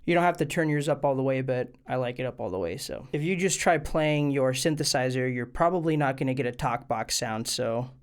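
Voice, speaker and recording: A man's voice: mid-range voice, a little fast, hardly any pauses, clear, resonant tone. A man hosting a tutorial video, explaining things step by step in an informal, plain way. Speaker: host of a music gear tutorial channel. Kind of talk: casual instructional video tutorial.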